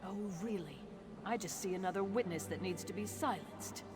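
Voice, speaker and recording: A woman's voice: mid-range voice, moderate pace, natural voice. An actress playing a troubled young female assassin in a video game, earnest, emotional and wounded. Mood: wary, bitter. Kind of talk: dramatic video game acting. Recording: loud music under the voice.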